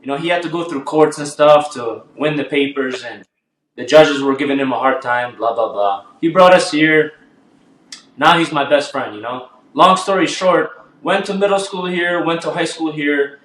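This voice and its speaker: A man's voice: a little high, moderate pace, a bit bright, slight background noise. Laid-back young man in an echoey room. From Albania. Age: 20s. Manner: laid-back storytelling with slang. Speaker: guest artist. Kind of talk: casual podcast chat.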